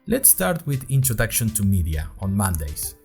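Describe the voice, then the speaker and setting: Male actor as wry, nervous college student narrating an audio drama diary; lowish voice, animated swings, music underneath. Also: normal speed, vocal fry. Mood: wry, chatty. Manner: conversational, wry, dramatic emphasis. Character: chatty, nervous, wryly humorous young male college student. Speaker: narrator of an audio fiction podcast. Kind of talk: scripted first-person storytelling, diary-like.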